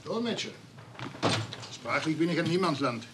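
An actor playing a wry colleague in a crime drama, speaking in a dry, joking way. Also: male voice, a little high, very animated, moderate pace, background noise.

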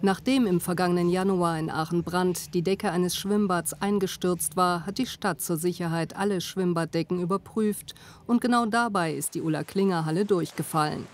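A woman's voice: fairly low pitch, fairly even intonation, normal speed, slight background noise. A woman reading a scripted news report.

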